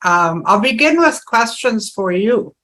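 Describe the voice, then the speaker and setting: Polite interviewer with a bright voice. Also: mid-range voice, very animated, measured pace. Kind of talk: scripted interview questions.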